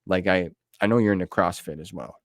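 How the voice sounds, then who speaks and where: A man's voice: low voice, a little fast, dry recording, clean studio sound. A middle-aged man hosting a podcast interview in a casual, chatty style. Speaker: podcast host. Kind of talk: casual chat.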